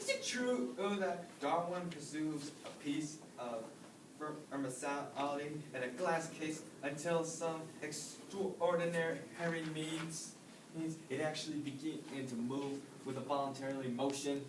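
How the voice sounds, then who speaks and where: A man playing an earnest, persistent student in stage comedy, with a halting but insistent manner. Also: a little high, noisy background, natural voice. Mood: earnest questioning. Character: earnest, persistent student challenging his professor. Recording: roomy, distant microphone.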